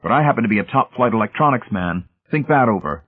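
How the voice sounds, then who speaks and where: A man's voice: mid-range voice, lively intonation, fast, narrow, tinny audio, voice pushed up, natural voice. A man voicing an exasperated, stubborn male engineer in an audiobook, indignant and combative. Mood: challenging.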